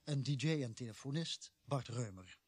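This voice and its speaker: A man reading scripted closing credits as a formal, deliberate announcer. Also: mid-range voice, lively intonation, husky, dry recording.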